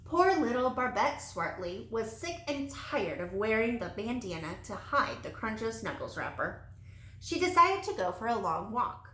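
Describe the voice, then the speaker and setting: A woman reading a children's storybook aloud in an expressive, animated way with dramatic emphasis. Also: a little high, normal speed. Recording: roomy, echoey sound.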